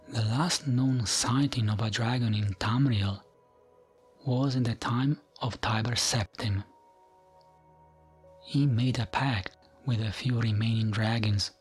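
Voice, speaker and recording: A man reading fantasy lore as a gentle, soothing, hushed ASMR narration. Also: mid-range voice. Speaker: narrator of gaming lore videos. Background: music.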